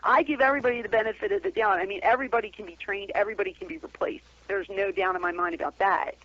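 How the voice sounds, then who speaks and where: A woman's voice: medium pitch, expressive, rapid-fire, a bit bright, no room sound. Dull phone-line woman, blunt radio talker. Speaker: guest call-in co-host. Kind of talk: casual wrestling commentary chat. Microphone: telephone call-in.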